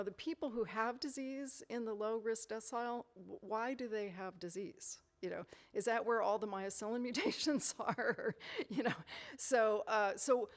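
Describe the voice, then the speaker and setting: A woman's voice: medium pitch, creaky. A woman lecturer in a hall, answering audience questions thoughtfully with lots of "you know" fillers and restarts. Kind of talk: conference Q&A answers.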